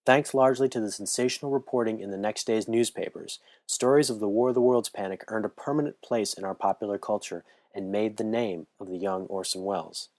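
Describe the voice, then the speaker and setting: Young man reading a script, low voice. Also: a little mellow. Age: young adult. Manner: measured, earnest reading. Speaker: history student narrator. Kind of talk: scripted narration.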